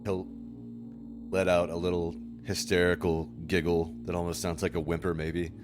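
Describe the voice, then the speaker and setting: A man's voice: sits low, little pitch movement, moderate pace, over music. A man casually describing what his character does as a player in a tabletop game.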